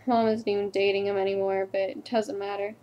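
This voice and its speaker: A woman's voice: medium pitch, little pitch movement, mellow, muffled recording, voice pushed down, close to an everyday voice. Woman acting a wounded, confiding teenage girl. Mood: haunted, bitter. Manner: confiding, halting, subdued. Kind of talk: dramatic stage monologue.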